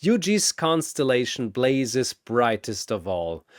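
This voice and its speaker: A man's voice: medium pitch, big pitch swings, takes it slowly, dry recording, clean studio sound. A man reading scripted fantasy battle narration in a dramatic announcer style.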